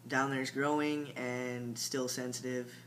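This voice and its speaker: A man's voice: medium pitch, level tone, takes it slowly, fairly bright tone. A young man talking through a casual late-night video diary, rambling with lots of fillers and sometimes dropping to a mumble. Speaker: vlogger. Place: home at night. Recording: faint hum behind the voice.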